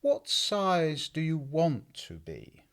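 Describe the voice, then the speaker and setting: A woman's voice: low-pitched, huge pitch swings, slow pace, heavily acted. A woman playing a languid, sleepy, curt and contrary caterpillar in a full-cast audiobook. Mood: contrary, disdainful.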